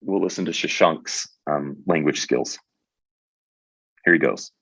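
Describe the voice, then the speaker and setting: Very low-voiced man presenting a webinar. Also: measured pace, creaky, a bit bright, no room sound. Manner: conversational, with many fillers and restarts.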